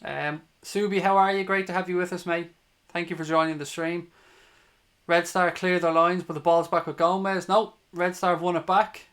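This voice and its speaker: Male livestream football host, Dublin accent, fairly high voice, blunt fan talk with swearing. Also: level tone, normal speed, a bit bright. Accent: Dublin Irish. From Ireland, from Dublin. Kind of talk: live football watch-along commentary, chatting with viewers.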